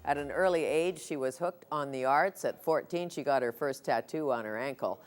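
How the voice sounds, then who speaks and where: Announcer reading a scripted intro over music. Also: mid-range voice. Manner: measured, scripted delivery.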